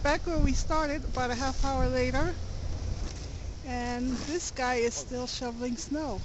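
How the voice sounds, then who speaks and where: Upbeat woman street reporter, high voice, camcorder audio with strong wind noise. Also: fairly even intonation, unhurried. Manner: upbeat, chatty questions. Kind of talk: casual on-the-street interviews. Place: outdoors in a snowstorm on city streets. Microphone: handheld camcorder mic.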